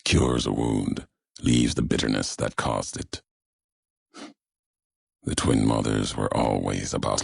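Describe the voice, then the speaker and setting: Very deep, gravelly, brooding wasteland wanderer. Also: male voice, dry recording, clean studio sound, exaggerated voice. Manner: slow, solemn, cryptic, clipped phrasing. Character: grim, brooding male wasteland courier haunted by history. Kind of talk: scripted video game dialogue.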